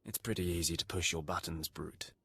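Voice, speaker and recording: A taunting, conceited spy from a sci-fi game. His low voice is crisply forceful, sneering and dry in the recording. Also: brisk, dry recording, exaggerated voice. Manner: smug, sneering, articulate. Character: smug, condescending, taunting male spy villain. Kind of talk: video game voice acting.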